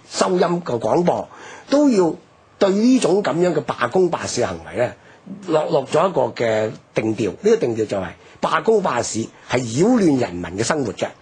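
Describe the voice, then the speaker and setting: A man with a Hong Kong Cantonese accent gives an unscripted, emphatic explanation as a history commentator on radio, his voice husky and rough. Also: medium pitch, a little mellow. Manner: explanatory, emphatic, frequent rhetorical tags. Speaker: history commentator, programme guest.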